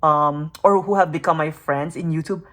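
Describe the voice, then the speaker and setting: Brief: young woman, native Tagalog speaker, earnest and soft, somewhat low voice, thinking aloud to camera. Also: steady tone, normal speed. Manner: soft, earnest and searching, with fillers and self-questions. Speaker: makeup YouTuber. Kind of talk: reflective personal vlog talk.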